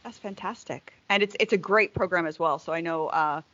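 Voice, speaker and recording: A woman's voice: lowish voice, a little fast, sharp tone, muffled recording. A woman hosting an interview podcast, encouraging and upbeat with frequent fillers. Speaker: podcast host.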